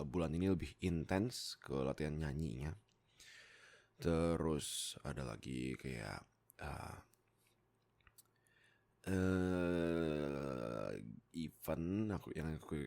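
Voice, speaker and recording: A young man, chatting casually and playfully to viewers on a close mic, often pausing and interrupting himself. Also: very deep voice, vocal fry, a little mellow. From Indonesia. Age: young adult. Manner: playful, self-interrupting, hesitant pauses, jokey asides. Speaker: YouTube content creator and voice actor. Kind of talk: casual rambling chat to viewers. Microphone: close desktop microphone.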